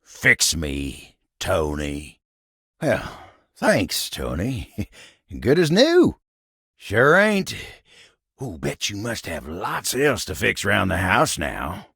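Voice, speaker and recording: An actor voicing a gentle, folksy living table in a video game, with a gravelly American Southern drawl and a humble, earnest manner. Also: male voice, deep voice, huge pitch swings, extremely slow, no echo, clean studio sound, full character voice. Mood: irritated, grumbling. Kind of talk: video game character voice acting.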